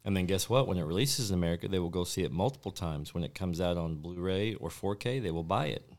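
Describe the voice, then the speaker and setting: A man in his thirties on a friends' podcast, making dry, deadpan jokes and pressing his argument insistently. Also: low-pitched, fast. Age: 30s. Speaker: podcast co-host. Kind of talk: casual chat among friends.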